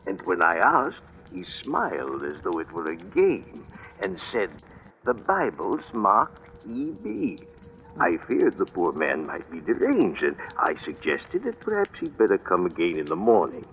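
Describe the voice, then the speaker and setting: Old-time radio drama: anxious aged cleric, stammering, hugely varied intonation, slightly noisy broadcast sound. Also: male voice, low voice, huge pitch swings, a little slow, a bit bright, exaggerated voice. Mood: anxious, fearful. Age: older. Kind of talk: 1940s radio acting.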